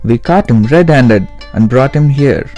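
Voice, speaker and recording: A man voicing an officious palace guard who speaks slowly and emphatically. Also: mid-range voice, expressive, dark tone, soft background music, close to an everyday voice. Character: blunt palace guard and boastful magician.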